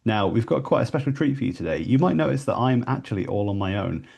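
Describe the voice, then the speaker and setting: A man's voice: mid-range voice, lively intonation, quick pace, creaky, a little mellow, breathy edge. A man hosting a podcast, chatting casually with his guest from a small room, with lots of fillers and restarts. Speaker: podcast host.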